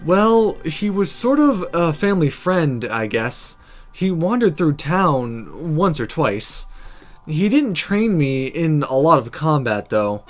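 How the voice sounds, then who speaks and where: An earnest young would-be sorcerer in a visual novel, read by a man. The delivery is animated, hesitant yet stubbornly defiant, over music. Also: fairly high pitch, slow pace.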